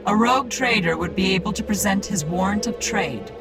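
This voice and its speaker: An actress playing a haughty, regal priestess of a machine cult who speaks in a smug, measured, condescending way over loud music. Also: female voice, mid-range voice, expressive, natural voice.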